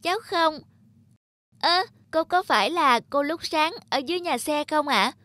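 A woman's voice: high-pitched, unhurried, bright, forceful tone, no echo, studio quality. A woman voicing an innocent, eager little schoolgirl in a cartoon, with a sweet, childlike, pleading manner. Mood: cheerful. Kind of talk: animated moral-tale dubbing.

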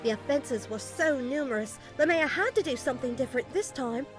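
A woman's voice: high-pitched, lively intonation, fairly quick, music in the background. An actress playing a distressed, folksy village woman telling of bandit raids in a fantasy game. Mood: indignant.

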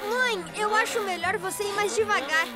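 A woman's voice: very high-pitched, moderate pace. A Brazilian woman voicing an earnest little boy motorbike in a children's cartoon. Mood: worried. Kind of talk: animated cartoon dubbing. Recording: music behind the voice.

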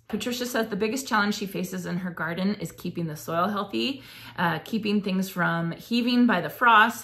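A woman in her thirties hosting a gardening show from her home office, chatting casually and gushing over viewers' gardens. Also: a bit bright. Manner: gushing, enthusiastic, exclamations with rising pitch. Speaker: gardening channel host. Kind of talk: casual chat reading viewer submissions.

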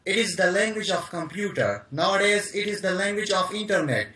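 A man's voice: high-pitched, animated, brisk, bright, forceful tone. A young man with a Pakistani accent, earnestly listing reasons on a video call. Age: young adult. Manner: earnest, emphatic, lists things out. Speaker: caller. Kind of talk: eager video-call interview. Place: dim room indoors. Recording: echoey room.

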